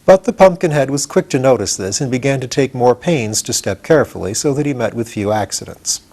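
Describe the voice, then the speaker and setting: Older man reading a storybook, lively intonation. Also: medium pitch, a little fast. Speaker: audiobook reader. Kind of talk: storybook narration.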